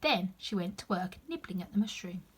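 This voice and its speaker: A woman's voice: medium pitch, lively intonation, brisk, breathy edge. A woman reading a children's story aloud expressively, with chatty asides to the listener.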